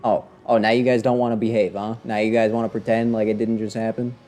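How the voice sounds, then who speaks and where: A man's voice: fairly low pitch, flat delivery, fast, warm tone. A man giving casual live commentary as a game streamer, in a wry, offhand, joking way. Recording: game music under the voice. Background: game music.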